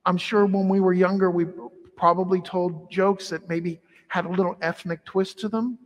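A middle-aged priest preaches in a lively, conversational way, his voice sitting high for a man. The sound is caught from across a large church sanctuary, with a long reverb trailing each phrase. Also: normal speed. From Ireland heritage. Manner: animated, conversational preaching with wide arm gestures. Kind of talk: sermon.

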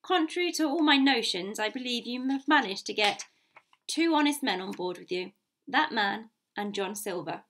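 A woman voicing a composed, shrewd gentleman doctor in an audiobook, speaking in a measured, courteous, articulate way. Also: on the high side, lively intonation. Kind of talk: audiobook character voice.

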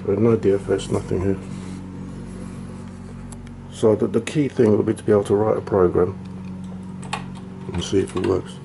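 Man, low gravelly voice, rambling, self-deprecating, casual demo, faint hiss. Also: level tone, moderate pace, long pauses. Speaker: retro computer hobbyist.

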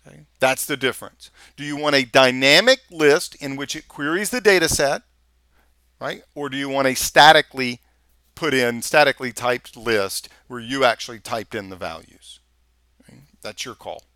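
Texan male instructor, screencast voice-over, bright and dry, casual and chatty while clicking around. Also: medium pitch, moderate pace, dry recording. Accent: Texas. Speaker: software instructor. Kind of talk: screencast tutorial walkthrough.

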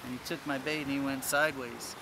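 An American man hosting a fishing vlog, chatting casually in animated, slangy talk outdoors on a beach. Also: medium pitch, fast talker. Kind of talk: casual excited fishing chat. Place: outdoors on an open ocean beach. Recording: noisy surf and wind outdoors.

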